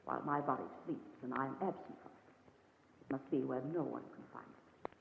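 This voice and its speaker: A woman's voice: a bass voice, fairly quick, creaky, exaggerated voice. Creepy commanding occult woman, old radio mystery, big hollow reverb, tinny sound. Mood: cold, resolute. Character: commanding, uncanny woman occultist plotting a body swap. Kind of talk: 1940s radio mystery acting. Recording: echoey, noisy, tinny old radio recording.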